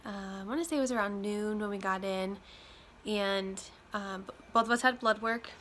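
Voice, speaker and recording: A woman's voice: medium pitch, normal speed, bright, forceful tone. A young woman from Iowa, slightly nasal from a chest cold, chatting casually to camera with plenty of ums. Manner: chatty, many ums, loose and rambling. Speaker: vlogger. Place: home room with windows open. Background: faint road traffic and wind chimes.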